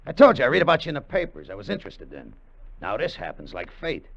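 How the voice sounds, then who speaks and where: An actor playing a brash, pushy gambler turned treasure hunter in slangy, hard-boiled 1950s radio drama style. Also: male voice, mid-range voice, huge pitch swings, quick pace, creaky, no echo, exaggerated voice. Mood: pushy, confident. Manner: slangy, insistent, tough-guy talk. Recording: dull vintage radio recording with loud music.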